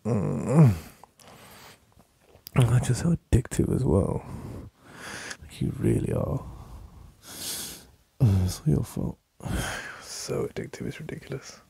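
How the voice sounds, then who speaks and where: A male performer as a needy, teasing English boyfriend in an intimate ASMR roleplay. His voice is heavily gravelly and husky, half-whispered at an unhurried pace. He lingers on words with soft sighs, affectionate throughout. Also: wildly swooping pitch, dry recording, heavily acted. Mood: tender, drowsy. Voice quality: deep, breathy, husky, gravelly. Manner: slow, murmuring, with sighs and lingering pauses.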